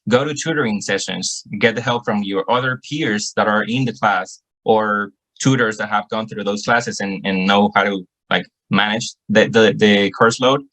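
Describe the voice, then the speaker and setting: A man's voice: lowish voice, fairly even intonation, normal speed, few pauses, fairly bright tone, rough recording. A young man from El Salvador with a Salvadoran Spanish accent, earnestly answering questions on a video call and often repeating words as he searches for phrasing. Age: young adult. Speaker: guest student.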